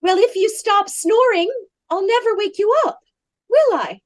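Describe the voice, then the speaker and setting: A woman's voice: very high-pitched, lively intonation, measured pace. An actress playing a whiny, nagging wife who teases her husband in a comic radio play. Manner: whiny, plaintive, teasing.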